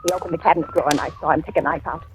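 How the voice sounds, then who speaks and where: A woman's voice: deep voice, steady tone, very fast, natural voice. An actress playing a frightened old woman in a 1940s radio thriller, stammering and breathless as she pleads and narrates. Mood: tense narrating. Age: older. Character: terrified, pleading old woman held captive at home. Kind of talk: 1940s radio thriller acting, dialogue and first-person narration. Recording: noisy vintage radio recording.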